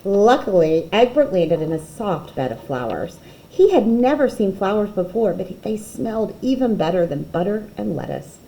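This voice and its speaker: A woman reading a children's picture book aloud expressively, with dramatic pauses and emphasis. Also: mid-range voice, sing-song, gravelly, warm tone, slight background noise, poor audio.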